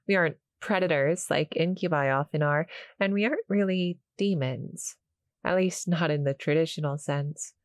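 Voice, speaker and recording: A woman voicing a small, shy, friendly cuddle-monster girl in an audio roleplay, halting and apologetic as she speaks to the listener. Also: deep voice, dry recording, close to an everyday voice. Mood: shy, explaining. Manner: nervous, halting, stammering, soft-spoken explaining.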